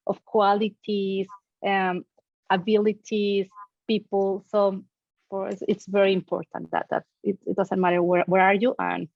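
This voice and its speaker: A woman's voice: medium pitch, no room sound. A woman speaking hesitantly in a panel discussion, repeating words as she goes. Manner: hesitant, repeats words, spoken in careful English. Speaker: agency leader, panelist. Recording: dull, dry video-call audio.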